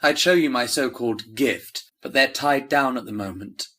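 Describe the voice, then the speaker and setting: A young man playing an easygoing, friendly eighteen-year-old in an audio drama, with a wry, relaxed manner. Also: medium pitch, normal speed, lightly acted.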